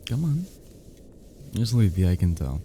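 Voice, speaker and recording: A man playing a tender, flirty best friend in an intimate ASMR roleplay, murmuring softly and affectionately as he soothes the listener to sleep. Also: deep voice, very animated, a little slow, a little hiss or hum. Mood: gently teasing. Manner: soft, murmuring, gently teasing, affectionate.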